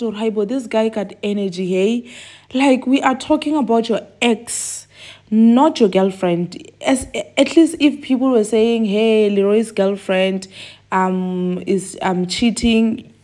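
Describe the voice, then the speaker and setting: Chatty South African woman, mid-pitched. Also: a little mellow. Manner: chatty, emphatic, repeats phrases for effect. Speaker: gossip channel host. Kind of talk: casual gossip commentary.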